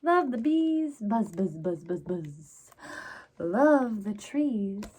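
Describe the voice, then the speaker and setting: A woman's voice: medium pitch, very animated, very slow, darkish tone. A woman hosting a children's story time, reading aloud and talking to young listeners in a sing-song, encouraging, exaggerated way. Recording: clear background noise.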